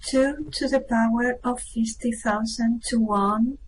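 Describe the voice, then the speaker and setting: A woman playing a calm, reassuring starship officer, giving measured, formal announcements in a radio play. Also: a little high, noisy background.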